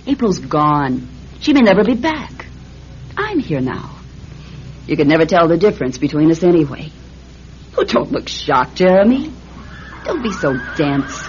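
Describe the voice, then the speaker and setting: A taunting, unhinged radio villainess. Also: female voice, lowish voice, a little mellow. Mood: mocking, taunting. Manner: mocking, theatrical. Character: taunting, unhinged woman, murderous split personality. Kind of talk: dramatic radio acting. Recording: dull, noisy radio drama recording.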